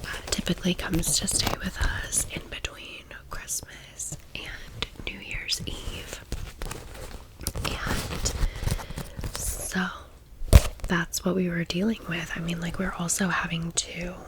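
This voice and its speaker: A woman's voice: on the low side, flat delivery, slow, low-quality recording. A woman in her thirties whispering a chatty, informal ASMR storytime as the host of her channel. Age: 30s. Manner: chatty, informal, gushing asides.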